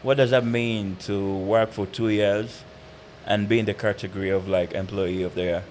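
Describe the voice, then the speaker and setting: A man hosting a podcast, talking in a relaxed, slang-heavy way and laughing often. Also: lowish voice, normal speed, slight background noise. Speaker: podcast host. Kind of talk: casual podcast interview.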